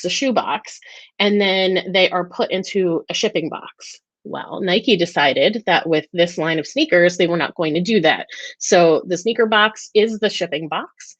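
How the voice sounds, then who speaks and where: An American woman hosts a relaxed live chat, talking with enthusiasm and plenty of ums and restarts. Her voice sits on the lower side for a woman. The sound is dry and a bit muffled, like a lo-fi stream. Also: no room sound. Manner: chatty, enthusiastic, many fillers and restarts. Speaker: host of a weekly live talk and Q&A. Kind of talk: casual livestream chat.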